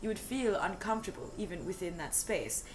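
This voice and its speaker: Low-voiced woman musing on a live stream. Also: animated, fairly quick, noisy background. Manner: thoughtful, explains with many fillers, laughs easily. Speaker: co-host of a language stream. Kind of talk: casual discussion on a live stream. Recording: music playing behind the talk.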